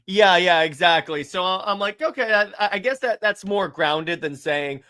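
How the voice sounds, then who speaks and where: A man with a high-set, bright voice hosts a casual film chat. He speaks emphatically and with excitement, in an informal conversational style. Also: moderate pace, smooth. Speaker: podcast host. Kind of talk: casual movie discussion.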